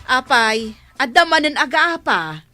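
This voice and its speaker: High-pitched scolding village woman. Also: sing-song, unhurried, bright, forceful tone, full character voice. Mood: alarmed. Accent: Filipino (Ilocano). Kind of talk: comedic radio drama acting. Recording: music under the voice.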